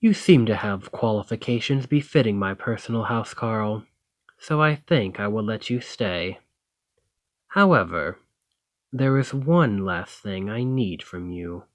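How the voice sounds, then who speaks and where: A man's voice: lowish voice, expressive, measured pace, no echo. A man playing a dominant, teasing fantasy thane in an ASMR roleplay, commanding and flirtatious. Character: dominant Nordic fantasy thane, teasing, confident warrior lord. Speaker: roleplay audio performer. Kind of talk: ASMR fantasy roleplay acting, addressing the listener.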